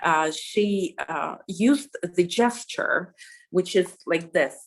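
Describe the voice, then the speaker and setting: Middle-aged woman, lowish voice, lots of ums and you knows. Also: slow, low-quality recording. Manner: hesitant, many fillers and restarts. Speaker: interviewee. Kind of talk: conversational interview answer.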